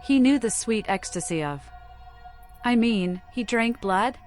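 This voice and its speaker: A woman's voice: a little high, lively intonation, measured pace, soft background music. A woman voicing a cryptic, unhinged female vampire in a video game, with an eerie playful temper and terse, odd phrasing. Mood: curious, eerie.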